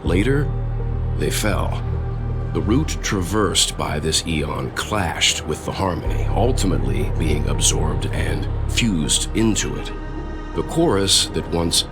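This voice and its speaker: Brainy calm game character, super deep voice, slow and grave, music loud behind him. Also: male voice. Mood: grave, explanatory. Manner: measured, articulate, grave. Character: calm, astute scholarly man, quietly probing. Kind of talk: video game voice acting, expository dialogue.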